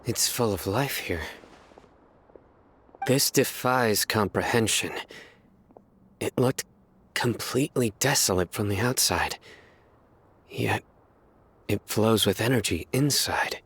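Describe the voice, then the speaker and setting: An actor playing a measured male video game character, formal and deliberate in his delivery. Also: lowish voice, a bit bright, slight background noise. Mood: awed.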